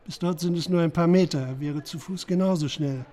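A man's voice: fairly high pitch, a little fast, warm tone, lightly acted. An actor in a German radio crime drama playing a shrewd, commanding police chief who analyses for his team. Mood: controlled. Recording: faint background noise.

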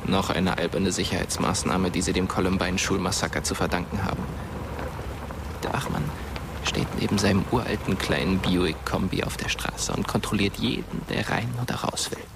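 Low gravelly brooding young storyteller. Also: male voice, fairly even intonation, normal speed, very noisy. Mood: brooding, measured. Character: dead teenage boy narrating his friend's night, brooding. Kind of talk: scripted radio drama narration. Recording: music bed behind the voice. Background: loud atmospheric music.

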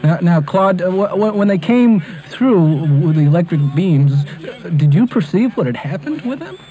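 A man's voice: high voice, sing-song, normal speed, warm tone, soft background music. A man improvising a prank phone call, hesitant and repetitive, pleading with the person on the line. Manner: hesitant, repetitive, pleading, addressing listener by name. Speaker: prank caller. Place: on a telephone call. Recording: prank call recorded over telephone.

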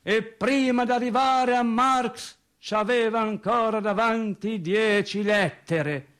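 A plainspoken factory worker and husband with a high-pitched voice. He tells his tale slowly in a colloquial radio play monologue, animated and comically exasperated, circling back over his words. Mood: rueful. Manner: animated, hesitant repetitions, comic indignation.

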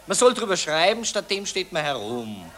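A man's voice: fairly high pitch, very animated, moderate pace, pushed and bright. An actor playing a pompous, glib male journalist in a radio play. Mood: smug. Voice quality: pompous, declamatory. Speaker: newspaper reporter. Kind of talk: radio drama acting.

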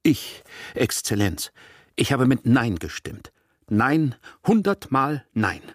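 A man's voice: mid-range voice, big pitch swings, takes it slowly, no room sound, clean studio sound, pitched above the performer's own voice. Man acting out an indignant, aggrieved village man. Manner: emphatic, protesting, self-righteous. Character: aggrieved, pathetic, indignant male villager of little means. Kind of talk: acted dialogue in a literary audiobook reading.

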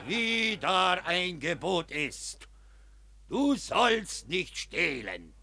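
An actor playing a fiery Capuchin friar who thunders rhymed sermons at a crowd of soldiers in a radio play. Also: male voice, sits high, big pitch swings, very slow, sharp tone, exaggerated voice. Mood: scolding sermon. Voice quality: rasping, thundering rhymed preaching. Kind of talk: stage verse radio play.

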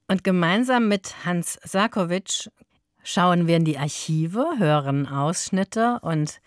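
Low-voiced woman hosting on radio. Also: no room sound, clean studio sound. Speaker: radio host. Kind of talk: radio interview moderation.

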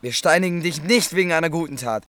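A man playing an angry, loud accuser from an ancient crowd in a German Bible audio drama. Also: a little high, quick pace, lightly acted. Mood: angry accusing.

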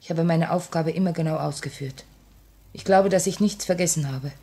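A low female voice from a mid-century radio play, earnest and solemn. She is an anxious revolutionary bomb-maker who holds herself composed, with measured stage diction. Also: mellow, breathy edge. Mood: quietly fearful. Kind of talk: 1950s German radio drama acting. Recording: old 1950 radio play recording.

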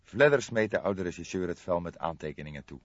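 A man's voice: deep voice, animated, a little fast, no echo, dull audio. A man reading scripted story narration as an audiobook narrator, close to the microphone.

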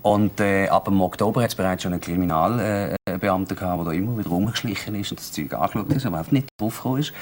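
A man explaining a case in an old TV interview, growing animated when he stresses a point. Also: low voice, fast talker, hardly any pauses. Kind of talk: archival TV interview.

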